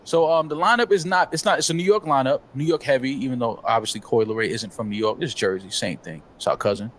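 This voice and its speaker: A man co-hosting a talk show, giving opinions in a measured, filler-heavy way. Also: mid-range voice, a bit bright, a little hiss or hum. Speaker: podcast co-host. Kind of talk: casual chat.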